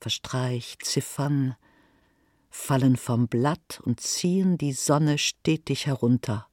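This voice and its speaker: A narrator performing a scripted literary monologue in a theatrical, expressive manner. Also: medium pitch, slow pace, no echo, clean studio sound.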